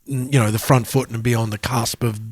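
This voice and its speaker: A man's voice: mid-range voice, fairly even intonation, normal speed, no echo, clean studio sound. A man co-hosting a podcast in casual chat, thinking aloud as he explains his points. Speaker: podcast co-host.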